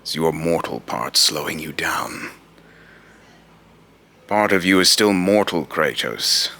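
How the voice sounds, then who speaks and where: Grave, condescending god of vengeance, played by a man: extremely low, forceful voice, solemn and accusing delivery. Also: animated, creaky, exaggerated voice. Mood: menacing, condescending. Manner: slow, solemn, deliberate, taunting rhetorical questioning. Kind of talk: amateur voice-acting monologue with retakes.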